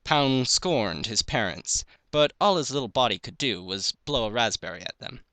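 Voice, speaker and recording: A man's voice: medium pitch, lively intonation, moderate pace, sharp tone, no echo. A man narrating a piece of fan fiction, reading it aloud as a story.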